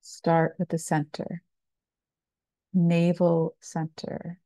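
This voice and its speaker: A woman meditation teacher guiding a body scan, speaking calmly and soothingly in short phrases with long pauses. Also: fairly low pitch, no echo. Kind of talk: slow guided meditation, body scan narration.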